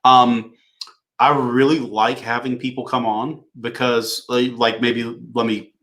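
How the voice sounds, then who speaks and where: A man's voice: mid-range voice, unhurried. Easygoing man hosting a live Q&A. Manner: relaxed, thinking aloud with fillers. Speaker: host. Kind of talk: casual livestream Q&A coaching.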